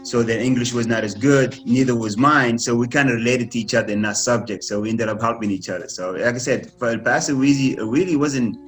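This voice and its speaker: A man's voice: mid-range voice, fast, few pauses, soft background music. Guy in his thirties, polite and laughs easy. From Kuwait. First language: Arabic. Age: 30s. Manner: polite, keeps saying yes sir, laughs often. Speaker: guest, former runner and coach. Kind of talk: casual interview answers.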